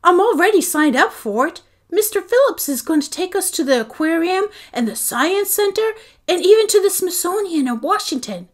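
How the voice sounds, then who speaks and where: A woman reading aloud voices an upset ten-year-old boy who pleads in a high, near-tearful voice. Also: very animated, moderate pace. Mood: pleading. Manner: high, pleading, on the edge of tears.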